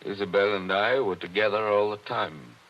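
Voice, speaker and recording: An actor playing a lonely attorney haunted by an old death, speaking in a measured, wistful, formal way in a 1940s radio drama. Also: male voice, lowish voice, natural voice. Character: lonely older attorney haunted by the past, genteel. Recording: muffled old radio broadcast with faint hiss.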